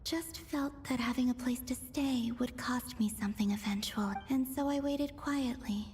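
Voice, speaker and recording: A woman voicing a gentle, melancholy young maiden in an anime game, soft and resigned, in dreamlike reverb. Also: high-pitched, moderate pace. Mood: wistful, resigned. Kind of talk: anime video game dialogue. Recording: long reverb, faint noise.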